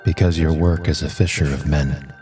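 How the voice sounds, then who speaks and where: A man voicing a serene, fatherly divine Lord with measured, solemn authority in an audio drama. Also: very deep voice, steady tone, a little mellow. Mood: serene, reassuring. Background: prominent music.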